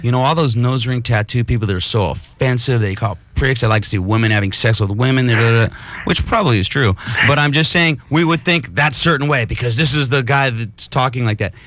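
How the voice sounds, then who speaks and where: A man's voice: medium pitch, brisk, a bit bright, a little hiss or hum. A man co-hosting a late-night call-in radio show, rambling through made-up stories to make his point, on a studio microphone. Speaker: radio co-host.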